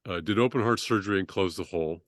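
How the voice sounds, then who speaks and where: A middle-aged man with a deep, low voice talks through his story in a podcast interview. He speaks haltingly, restarting sentences and filling pauses as he goes. The recording is close and dry. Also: dry recording. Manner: halting, many restarts and fillers. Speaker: trauma surgeon guest.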